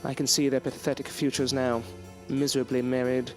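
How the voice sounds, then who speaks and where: A man's voice: mid-range voice, little pitch movement, a little fast, darkish tone, faint music behind, close to an everyday voice. An actor playing a proud, loyal male scientist in an audio drama, speaking in a formal, articulate, theatrical way. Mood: bitter, brooding. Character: proud, defiant, loyal male scientist, courteous but bitter. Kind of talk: period audio drama acting.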